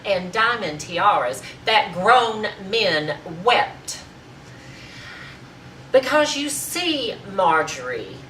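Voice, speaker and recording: Proud, indignant Southern lady female actor, grandiloquent stage tirade, measured delivery, distant mic in an echoey room. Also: low voice, fairly bright tone, a little hiss or hum, exaggerated voice. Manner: grandiloquent, emphatic, building declamation. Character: proud, indignant Southern lady fiercely defending her sister. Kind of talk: theatrical comic tirade monologue.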